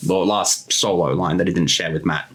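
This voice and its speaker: A man's voice: deep voice, normal speed, fairly bright tone. A man chatting casually as director and co-host of a creator commentary.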